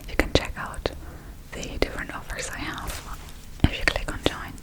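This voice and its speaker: A woman speaking gently in a breathy whisper as a soft-spoken ASMR creator addressing viewers. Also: moderate pace.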